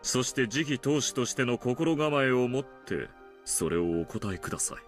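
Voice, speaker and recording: An actor playing a formal, deliberate and composed sinister demon examiner in a Japanese visual novel. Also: male voice, mid-range voice, sing-song, lightly acted. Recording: game audio with music.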